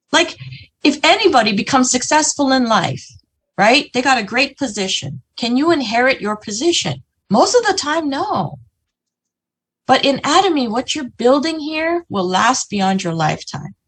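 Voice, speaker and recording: Chatty woman with a husky voice. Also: on the high side. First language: English. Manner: lively, chatty, frequent "you know" and "right?". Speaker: business presenter. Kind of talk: motivational talk on a video meeting. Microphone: video call.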